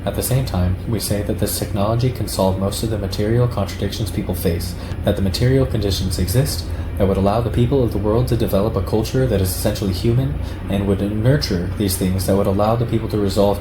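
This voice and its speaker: A man reading a political text aloud in a measured, declarative way, like an audiobook. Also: on the low side. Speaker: reader of a political essay. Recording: echoey room with clear background noise.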